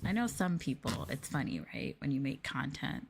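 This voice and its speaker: A woman livestreamer talking softly and casually in an ASMR style, close to the microphone. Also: rumbling low, expressive, moderate pace, gravelly, noisy background. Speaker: livestreamer, virtual streamer host. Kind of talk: soft-spoken ASMR livestream chat.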